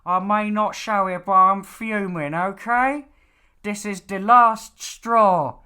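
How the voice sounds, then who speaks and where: A man's voice: sits high, slow, bright, forceful tone, clear, resonant tone, pitched above the performer's own voice, full character voice. Stern, nagging father, acted by a male reader, clean resonant voice, lecturing, bossy audiobook dialogue. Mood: annoyed, lecturing. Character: stern, nagging, exasperated father of a demon slayer.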